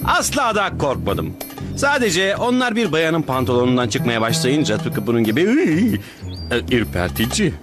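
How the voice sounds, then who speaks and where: A man's voice: on the high side, very animated, normal speed, music in the background. A man playing a big, goofy, dim-witted superhero in a dubbed cartoon, naive and bombastic, given to dramatic exclamations. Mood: musing. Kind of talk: dubbed cartoon hero acting.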